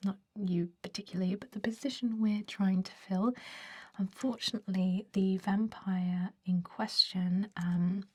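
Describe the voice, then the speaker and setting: A woman voicing a centuries-old vampire job interviewer in a breathy whisper, chatty and confiding, in an ASMR roleplay. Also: medium pitch, fairly even intonation, a little slow, a little mellow, lightly acted. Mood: gossipy, confiding. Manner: gossipy, confiding, hesitant rambling. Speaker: human resources interviewer.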